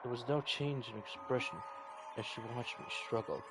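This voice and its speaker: A man's voice: medium pitch, fairly even intonation, normal speed, heavy background noise. A man reading a visual novel game aloud in a dramatic way, with offhand reactions of his own. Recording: game music behind the voice. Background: game music.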